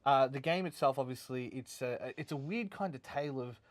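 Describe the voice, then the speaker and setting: An Australian man hosting a video game review show in casual, unscripted chat, speaking haltingly and repeating words as he goes. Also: medium pitch, no echo.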